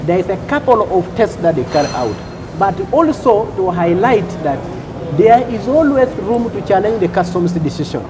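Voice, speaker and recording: A man from Uganda, a customs official on a talk show, explaining rules deliberately and repeating points with "okay?" checks. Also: high-pitched, noisy background.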